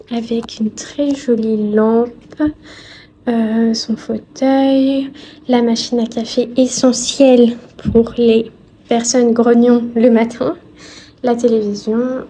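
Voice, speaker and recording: A woman with a fairly high voice talks through a travel vlog at an unhurried pace. She is polite and descriptive, speaking softly and casually, with faint noise around her. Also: level tone. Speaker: vlogger.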